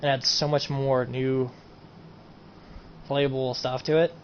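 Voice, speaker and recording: A man's voice: medium pitch, flat delivery, takes it slowly. A man streaming a casual game mod showcase, rambling and repeating himself as he trails off. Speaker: gaming streamer. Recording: dull lo-fi home recording, faint noise.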